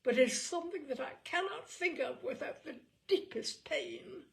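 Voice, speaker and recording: Woman playing a melodramatic, aggrieved husband, creaky and animated. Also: high-pitched, normal speed, voice pushed up. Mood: aggrieved, anguished. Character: distressed, self-pitying married man, gravely aggrieved over trifles.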